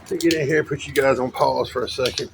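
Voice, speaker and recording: A man with a raspy voice, chatting casually with viewers on a live stream, first from a parked car and then while walking outside. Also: mid-range voice, fairly even intonation, fast, noisy background. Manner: rambling and folksy, talking to viewers.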